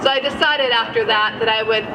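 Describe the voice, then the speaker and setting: A woman's voice: a little high, fairly even intonation, fairly quick. A woman in her thirties giving an earnest speech to an audience, with frequent fillers and self-corrections. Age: 30s. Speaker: guest speaker at a rally. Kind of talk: public speech. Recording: distant mic with audience noise.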